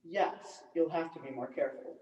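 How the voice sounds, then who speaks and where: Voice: mid-range voice, normal speed, dark tone, natural voice. A teenage performer acting a stubborn, earnest mime trapped in an imaginary box, in a theatrical school stage comedy. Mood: indignant. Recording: echoey, distant school play recording.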